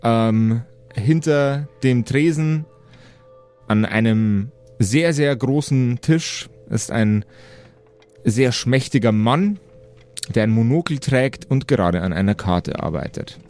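A male game master improvises a fantasy story in a medium-pitched voice. He feels his way through sentences and repeats words for emphasis. Light music sits under him. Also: expressive, darkish tone. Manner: halting, emphatic repetition of words.

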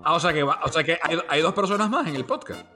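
A Venezuelan sports journalist hosting a podcast in a casual, joking way, full of fillers. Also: male voice, a little high, expressive, quick pace, bright, forceful tone, faint music behind. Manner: jokey, chatty, many fillers. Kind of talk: casual chat.

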